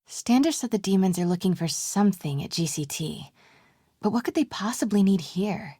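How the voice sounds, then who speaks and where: A woman playing a determined young reporter in a video game, breathy and often hushed, with a wry way of talking to herself. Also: mid-range voice, lively intonation, moderate pace, no echo. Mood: curious, hushed. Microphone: close, dry studio mic.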